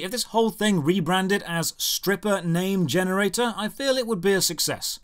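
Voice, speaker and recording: Guy doing funny scripted game review voiceover, highish voice, really animated, dry sarcasm. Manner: dry, sardonic, emphatic delivery. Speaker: video game reviewer and series host. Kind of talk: scripted comedic review narration.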